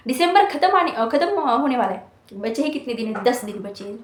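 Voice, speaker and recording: High-voiced young woman in an echoey room. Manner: earnest, scolding, full of rhetorical questions. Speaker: motivational speaker for exam students. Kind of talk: motivational talk to students. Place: hostel room.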